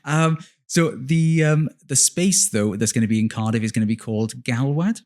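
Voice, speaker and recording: A man's voice: medium pitch, moderate pace, darkish tone. Earnest entrepreneur man, enthusiastic guest. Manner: earnest, enthusiastic, with um fillers and self-corrections. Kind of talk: casual interview chat.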